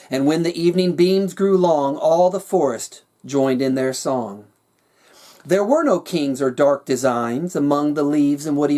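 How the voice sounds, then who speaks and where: A man narrating his own rhyming fantasy story with a measured, dramatic storyteller's delivery. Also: a little high, darkish tone. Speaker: author reading their own work.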